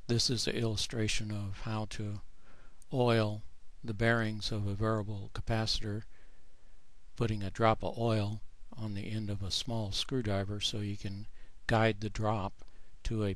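Very slow-talking man, low-pitched narration. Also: flat delivery, fairly bright tone, dry recording. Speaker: author presenting his own book. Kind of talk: narrated screen tutorial.

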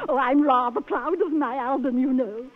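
A woman's voice: sits high, measured pace. An actress playing a genteel, gushing, fluttery society lady in an old radio comedy. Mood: flustered, gushing. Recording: tinny, noisy old radio recording.

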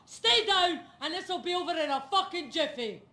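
A Scottish woman bank robber in a video game, swearing with jovial menace. The voice is raised very high, bright and hard, in an echoey space. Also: animated, moderate pace, full character voice. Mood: menacing. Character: gruffly jovial, foul-mouthed female bank robber. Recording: echoing room sound.

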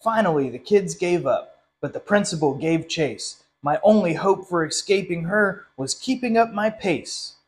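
Higher-voiced young man reading a storybook. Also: expressive, measured pace, hardly any pauses. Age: young adult. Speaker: literacy council director reading a story. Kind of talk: storytime picture-book reading. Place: small indoor classroom.

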